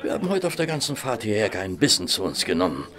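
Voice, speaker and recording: Fussy sidekick, wildly swinging pitch. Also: male voice, sing-song, quick pace, fairly bright tone. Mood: hungry, indignant. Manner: blustery, plaintive, fussy. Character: fussy, timid, hearty-eating gentleman sidekick to a detective. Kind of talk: radio drama acting.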